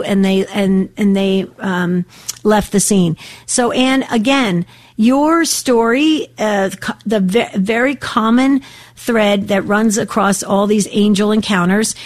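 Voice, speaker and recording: Slightly raspy woman hosting a call-in show. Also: medium pitch. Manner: warm, enthusiastic, many fillers. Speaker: radio host. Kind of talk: faith radio call-in show.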